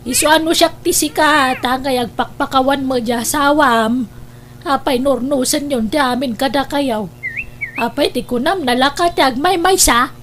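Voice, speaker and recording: A woman's voice: sits high, normal speed, a bit bright, slight background noise. A woman playing an exasperated older mother in a radio drama, scolding and urging her grown son with exclamations. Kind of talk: Ilocano radio drama acting.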